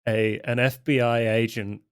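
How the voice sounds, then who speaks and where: A man's voice: mid-range voice, flat delivery, moderate pace, clear, resonant tone. A man taking part as a player in a tabletop roleplaying game, casually explaining his character's actions and thinking.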